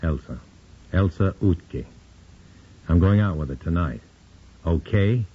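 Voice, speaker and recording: An actor playing a tense undercover agent in 1950s radio drama style, clipped and guarded, on an old radio recording. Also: male voice, low voice, animated, slow pace, slightly rough, phone-quality sound, heavily acted. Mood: guarded, evasive. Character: tense undercover agent posing as a party man.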